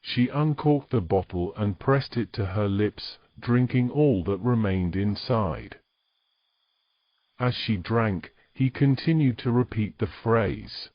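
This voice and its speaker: A man's voice: on the low side, animated, slow pace, no room sound, dull audio. A man narrating a scripted fantasy story in a dramatic storytelling style, close on the microphone.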